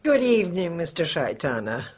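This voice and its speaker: A woman playing a poised, brisk, well-bred lady in a radio drama, with a husky voice. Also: fairly low pitch, very animated. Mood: brisk, apologetic.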